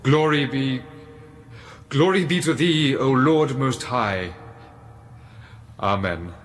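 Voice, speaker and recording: An actor playing a solemn priest in a radio drama, intoning the liturgy. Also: male voice, medium pitch, slow, close to an everyday voice. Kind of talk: chanted, solemn church service.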